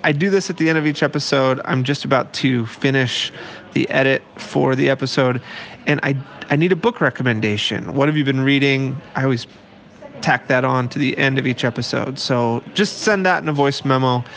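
A man's voice: mid-range voice, normal speed, a bit bright. A man hosting a podcast, moving between conversational interviewing and scripted narration. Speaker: podcast host and producer.

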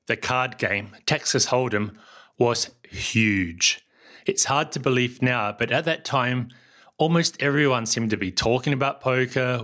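Bright-voiced New Zealand man narrating. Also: medium pitch. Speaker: podcast host. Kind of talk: scripted solo podcast narration.

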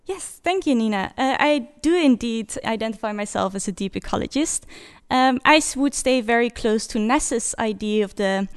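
A young woman from the Netherlands joins a podcast panel as a guest. She speaks earnestly and measuredly, with a smooth, clear voice pitched a little high. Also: animated, moderate pace. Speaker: panel guest, doctoral researcher. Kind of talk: discussion.

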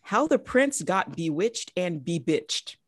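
A woman's voice: on the low side, big pitch swings, takes it slowly, no room sound, studio quality. A woman co-hosting a podcast in casual, joking conversation. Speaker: podcast co-host.

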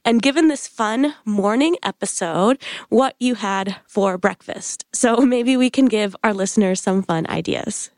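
A woman hosting a podcast, introducing the episode in a lively, playful way. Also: medium pitch, measured pace, hardly any pauses, no echo, clean studio sound.

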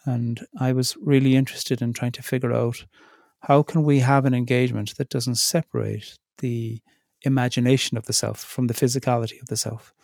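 A middle-aged man speaking reflectively as an interview guest, thoughtful and searching, pausing and restarting as he works through his ideas. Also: medium pitch, little pitch movement, creaky, darkish tone, dry recording, studio quality. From Ireland. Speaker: theologian in residence, interview guest.

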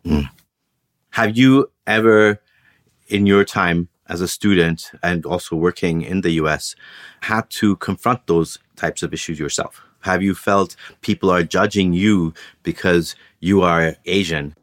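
A man working as an interviewer, asking measured, carefully worded questions. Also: low voice, no room sound, studio quality.

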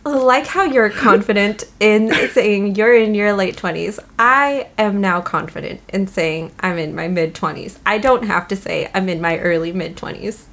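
A woman's voice: mid-range voice, normal speed, few pauses, fairly bright tone, a little hiss or hum. A woman in her mid-twenties co-hosting a casual podcast, teasing and giggling. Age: mid-20s. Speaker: podcast co-host.

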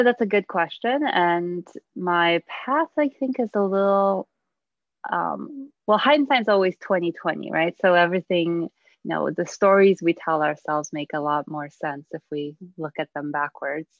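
A woman from Poland, a dancer and researcher, talking as a guest in a casual podcast interview, reflective and pausing often with soft laughs. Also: lowish voice, no room sound, muffled recording.

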